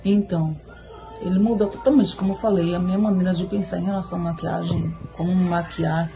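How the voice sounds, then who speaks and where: A woman's voice: lowish voice, fairly even intonation, a little fast, music in the background, poor audio. Hesitant woman from Pernambuco, phone selfie. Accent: Pernambuco Brazilian Portuguese. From Recife, Brazil. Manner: hesitant, many fillers and restarts. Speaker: course student giving a testimonial. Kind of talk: selfie testimonial. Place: indoors, home room. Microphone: phone at arm's length.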